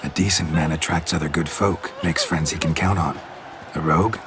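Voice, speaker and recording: A man playing a stoic, world-weary monster hunter in a video game, gravelly and hushed, with a terse deadpan delivery. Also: extremely low pitch, normal speed. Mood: calm, wry.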